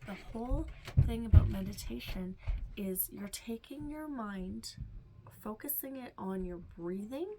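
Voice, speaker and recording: A woman with a stuffed-up, nasal voice gently teaching meditation to beginners, reassuring and sometimes dropping to a whisper. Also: mid-range voice, expressive, measured pace, slight background noise. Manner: soft, reassuring, drifts into whispers. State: stuffed-up nose. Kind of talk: casual instructional talk.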